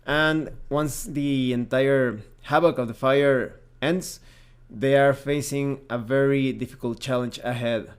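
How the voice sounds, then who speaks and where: A man with a mid-pitched voice narrates a review. He explains things methodically and in plain phrases, like a host walking viewers through a product point by point. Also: fairly even intonation, slow pace, smooth. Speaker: reviewer, host of a tabletop game review channel.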